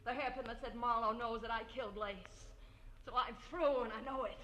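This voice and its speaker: A high-voiced, jealous killer played by a woman in a vintage radio thriller. She threatens with sharp, desperate intensity. The old recording is clearly noisy. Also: fairly even intonation, fairly quick, close to an everyday voice. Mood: menacing, frantic. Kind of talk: 1940s radio crime drama acting.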